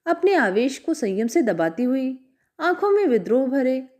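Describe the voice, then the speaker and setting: A woman storyteller reading a classic short story aloud in a measured, expressive way. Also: sits high. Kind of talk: scripted story narration.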